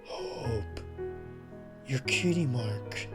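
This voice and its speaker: An actor as a slow, plaintive young unicorn colt. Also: medium pitch, lively intonation, over music. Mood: quiet awe. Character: confused young unicorn colt, earnest, wounded. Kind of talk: fan comic dub acting.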